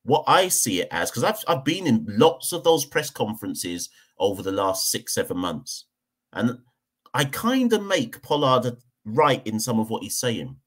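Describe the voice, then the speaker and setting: A man's voice: mid-range voice, very animated, measured pace. A man from Jamaica, hosting a cricket podcast and giving a heated opinion rant, hammering points home by repeating them. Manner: emphatic, repeats points for stress.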